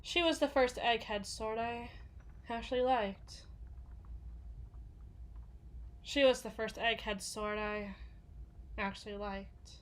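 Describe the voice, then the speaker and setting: Woman playing a weary tough bodyguard, fairly high voice, slow pace, hushed, halting, intimate audio roleplay, tender toward a partner. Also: close to an everyday voice. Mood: sombre, weary. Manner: halting, hushed, often sinking into whispers. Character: jaded, foul-mouthed bodyguard confiding tenderly in a partner. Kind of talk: intimate ASMR roleplay monologue.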